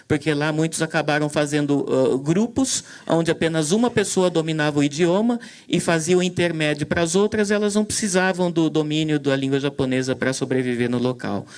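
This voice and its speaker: Male moderator, hesitant delivery, fillers and restarts, slightly higher pitch. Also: brisk, hardly any pauses, darkish tone. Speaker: event moderator. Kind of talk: commentary on a talk.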